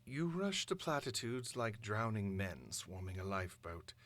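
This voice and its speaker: A man voicing a cold, calculating, sophisticated mastermind with a British accent, delivering a villain monologue in a measured, grandiose manner. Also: fairly low pitch, very animated, fairly bright tone. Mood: cold, imperious.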